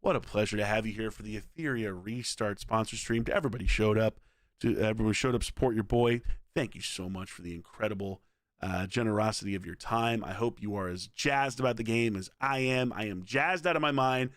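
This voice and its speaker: A suave, hoarse karaoke lounge emcee, put on by a man, crooning in a lowered, softened voice. He lays on the polite charm thickly, and the sound stays dry and close. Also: brisk, no echo, natural voice. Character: smooth, suave male karaoke lounge host.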